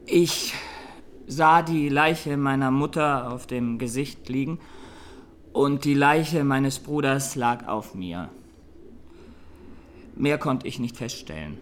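An actor playing a young Armenian massacre survivor giving halting, sombre testimony in a radio drama. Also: male voice, mid-range voice, slight background noise, natural voice. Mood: grave, halting.

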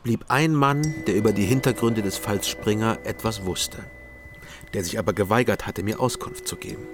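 Low-voiced, guarded male investigator played by an actor, music behind. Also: moderate pace, natural voice. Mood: sober, reflective. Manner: understated, matter-of-fact. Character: guarded, sober East German male investigator and narrator. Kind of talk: radio crime drama, first-person narration and dialogue.

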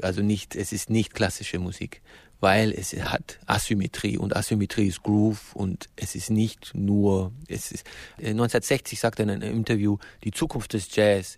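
A man talking spontaneously as an expert guest, explaining in a halting way and often rephrasing himself. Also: fairly low pitch, fairly even intonation, gravelly, no room sound. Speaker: interview guest, music expert.

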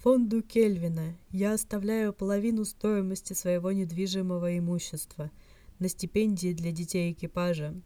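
A woman voicing a dignified, sly old male scholar's hologram in a fan fiction reading, formal, measured and soft. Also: fairly low pitch, steady tone, dry recording, lightly acted. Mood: solemn, formal.

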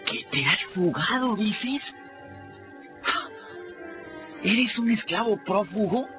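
Lively runaway boy storyteller. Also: high-pitched, slow pace. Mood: astonished. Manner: colloquial, animated, exclamatory. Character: cheeky runaway adolescent boy narrating his river adventures. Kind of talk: radio drama storytelling in first person. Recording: muffled radio production with music behind.

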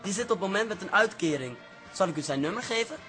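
A teenage paper boy in a radio comedy. His voice is high, polite and gently mocking, over faint music. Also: animated, quick pace. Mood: amused, assured. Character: polite, cheeky, confident teenage newspaper boy. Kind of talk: radio comedy drama acting.

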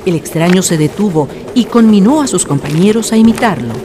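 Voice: medium pitch, expressive, fairly quick. A narrator reading a scripted radio drama in a solemn, literary storytelling manner. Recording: noisy ambient radio drama recording.

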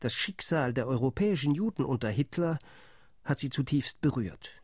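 An indignant male delegate argues his case in a firm, mid-pitched voice. The studio sound is dry with no room echo. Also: animated, moderate pace, husky. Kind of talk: radio play monologue.

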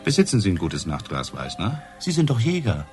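An actor playing a hard-drinking, sardonic police inspector in a radio crime drama, accusing and probing with sarcasm and theatrical pauses. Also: male voice, sits low, huge pitch swings, a little mellow, soft background music. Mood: probing, dramatic.

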